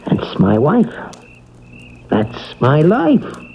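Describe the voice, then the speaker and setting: Old lo-fi radio play, a sarcastic cranky sick man, blustery and unhurried, kinda rough voice. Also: fairly high pitch, wildly swooping pitch, a little hiss or hum, full character voice. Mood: defiant. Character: cantankerous, sarcastic sick man refusing to die. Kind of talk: classic radio drama acting. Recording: dull, lo-fi old radio recording.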